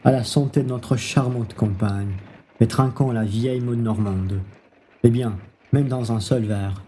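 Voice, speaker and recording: A man's voice: medium pitch, steady tone, unhurried, warm tone, lightly acted. Man as easygoing traveller, noisy recording. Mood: convivial. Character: jovial, easygoing male traveller fond of company. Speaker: student actor. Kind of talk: radio play acting in French.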